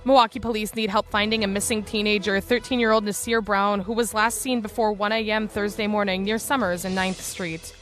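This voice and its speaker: Mid-pitched woman reading radio news. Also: fairly even intonation, brisk, few pauses, fairly bright tone, faint music behind. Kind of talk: scripted radio news bulletin.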